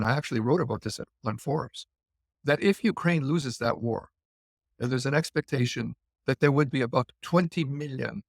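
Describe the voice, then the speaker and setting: A man's voice: medium pitch, vocal fry, slightly rough, no echo, studio quality. A middle-aged Canadian man explaining points thoughtfully in a conversational discussion, with frequent fillers and restarts. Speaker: guest immigration commentator.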